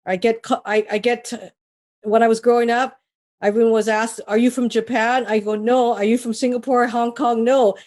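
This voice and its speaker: A woman's voice: fairly high pitch, flat delivery, normal speed, darkish tone. A woman, a mortgage broker being interviewed, talking casually with many restarts and fillers. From Trinidad. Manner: rambling, with frequent restarts and fillers.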